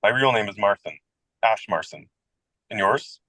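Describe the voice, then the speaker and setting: A man's voice: lowish voice, animated, moderate pace, fairly bright tone, no echo. A man playing a self-deprecating, whimsical American writer in his twenties in a radio comedy, with a wry, wordy, theatrical delivery. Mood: playful, courteous. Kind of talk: radio play comedy dialogue.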